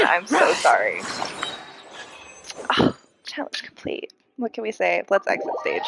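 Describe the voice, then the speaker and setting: A young woman streaming a game with a very lively pitch and an unhurried pace. She sounds congested and talks in a casual, gushing fan's way, with faint game music behind her. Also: low-quality recording. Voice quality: congested, audibly breathy intakes. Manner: giggly, gushing fan. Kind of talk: casual live gameplay chat.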